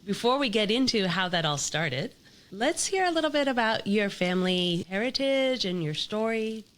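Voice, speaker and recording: A woman's voice: mid-range voice, animated, normal speed, fairly bright tone. A woman hosting a podcast interview, upbeat and sprinkling Spanish words into her English. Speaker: podcast host.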